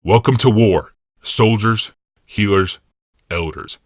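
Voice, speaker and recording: A man voicing a cold, scheming commander in an audiobook, speaking in a measured, quietly sinister and commanding way. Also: lowish voice, dry recording, clean studio sound. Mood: weary. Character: cold, scheming male commander and healer, smugly menacing. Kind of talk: audiobook character dialogue.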